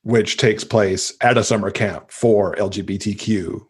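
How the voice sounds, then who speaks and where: A man's voice: on the low side, steady tone, a little slow, slightly rough. A man, middle-aged, talking casually and enthusiastically as a guest in an interview about books. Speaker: podcast guest, avid reader.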